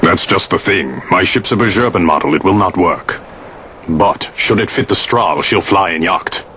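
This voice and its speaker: An actor playing a grave, honor-bound older sky pirate in a video game cutscene, speaking in formal, old-fashioned phrases. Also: male voice, deep voice, normal speed, sharp tone, slight background noise. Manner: formal, archaic speech. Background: game score music.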